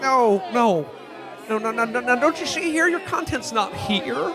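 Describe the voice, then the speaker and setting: A man's voice: extremely high pitch, lively intonation, a little slow, over music, a crowd in the background. A man playing an earnest, indignant everyman in a parody radio play, stammering and exasperated in a folksy old-movie manner. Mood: pleading. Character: flustered, indignant earnest everyman podcaster hero.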